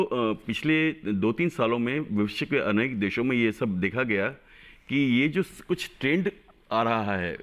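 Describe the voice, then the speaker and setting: Doctor, man, mid-pitched voice, emphatic, repeats key points. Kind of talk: medical advice on a call-in show.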